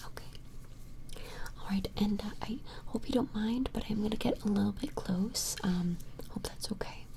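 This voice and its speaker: A woman voicing a flustered, smitten vampire in an ASMR roleplay, whispering hesitantly and apologetically in a breathy voice. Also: medium pitch, a little hiss or hum, natural voice. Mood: gentle, focused. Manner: hesitant, apologetic, halting, softly attentive. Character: flustered, smitten female vampire measuring a strange creature. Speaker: ASMR performer.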